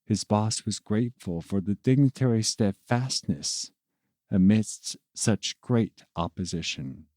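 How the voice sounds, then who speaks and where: Male narrator, unhurried pace, soft mellow tone, theatrical storytelling, deliberate phrasing, close dry recording. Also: fairly low pitch, dry recording. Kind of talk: scripted dramatic storytelling narration.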